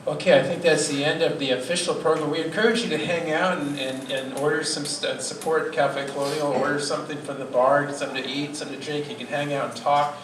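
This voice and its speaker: A man's voice: on the high side, flat delivery, fast, hardly any pauses. A man organizing the event, making informal closing announcements with restarts. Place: cafe event space. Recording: echoey room, far from mic, loud noisy crowd.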